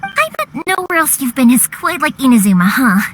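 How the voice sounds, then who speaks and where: A cheerful young fireworks maker. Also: female voice, high voice, fairly quick, a little hiss or hum, full character voice. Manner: warm, reassuring, lively. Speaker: fireworks shop owner. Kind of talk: video game dialogue. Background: game background music.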